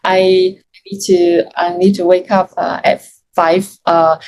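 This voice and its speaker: A young woman from Yunnan, China, a native Chinese speaker talking in English as an interview guest, halting and full of restarts, with frequent laughs. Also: on the low side, flat delivery, darkish tone, slight background noise, rough recording. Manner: hesitant, many restarts and fillers, laughs often. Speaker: guest, freelance Chinese teacher. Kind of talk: casual interview chat.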